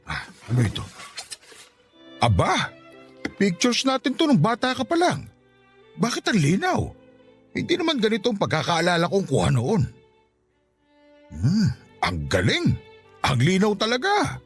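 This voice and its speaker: A man's voice: high voice, huge pitch swings, a little slow, pauses often, husky, exaggerated voice. A man playing an affectionate, playful, ailing father in a radio drama, teasing and tender by turns. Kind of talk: Tagalog radio drama acting. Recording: music behind the voice.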